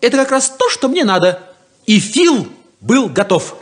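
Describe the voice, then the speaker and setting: An actor playing a brash, naive, excitable young farm brother in a 1970s Soviet radio play, boyish and emphatic. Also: male voice, extremely high pitch, unhurried, heavily acted. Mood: excited, cocky.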